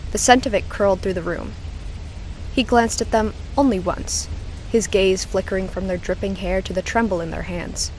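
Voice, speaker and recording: A woman with a medium-pitched voice reads a horror audio drama as its narrator. Her delivery is scripted, suspenseful and measured, pausing for drama. A clear bed of storm ambience sits behind her. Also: fairly even intonation, a little hiss or hum, rough recording.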